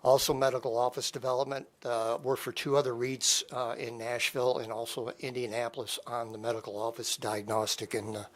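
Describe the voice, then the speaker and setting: A man's voice: medium pitch, little pitch movement, fairly quick, hardly any pauses. A middle-aged man with a Midwestern American accent, introducing himself informally at a public meeting in a rambling way.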